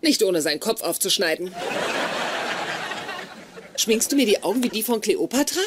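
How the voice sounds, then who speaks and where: A woman's voice: medium pitch, normal speed, background noise, natural voice. Woman as a blunt, awkward lady scientist. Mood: earnest. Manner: formal, deadpan, blunt. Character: socially awkward, self-assured female brain scientist. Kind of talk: dubbed sitcom acting.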